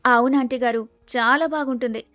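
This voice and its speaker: Woman as pushy young bride-to-be, very high voice. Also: steady tone, brisk, a bit bright, no room sound, faint music behind. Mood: enthusiastic. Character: excitable, vain young bride-to-be, pushy and impatient. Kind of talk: acted storybook dialogue.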